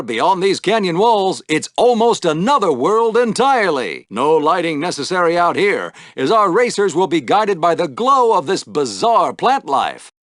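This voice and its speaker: An actor playing an upbeat, showy sci-fi podrace announcer in a video game, delivering wisecracking play-by-play commentary. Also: male voice, a little high, fairly bright tone, no room sound, clean studio sound. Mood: showy, wry. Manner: showy broadcaster patter with wisecracks. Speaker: sports race announcer.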